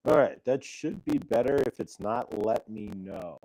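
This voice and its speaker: A man's voice: on the low side, animated, measured pace, creaky, mellow, no room sound, low-quality recording. A middle-aged man hosting a casual live stream, rambling and thankful, with lots of fillers and restarts, speaking into a clip-on mic. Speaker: host. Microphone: clip-on transmitter mic.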